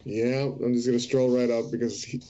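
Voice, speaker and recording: Very mellow male gamer, muffled. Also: mid-range voice, fairly even intonation, a little fast. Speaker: tabletop game player. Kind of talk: casual game chat. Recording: dull, muffled sound.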